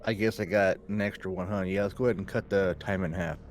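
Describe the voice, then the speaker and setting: Low-pitched male tabletop player. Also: fairly even intonation, fast talker, slight background noise. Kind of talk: casual table chat.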